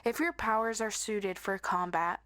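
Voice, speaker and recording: A woman's voice: medium pitch, moderate pace, fairly bright tone, close to an everyday voice. Woman acting as encouraging superhero tutor, instructive. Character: friendly superhero best friend tutoring with an orientation lecture. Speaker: voice actor in roleplay audio. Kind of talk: scripted roleplay, instructional orientation narration.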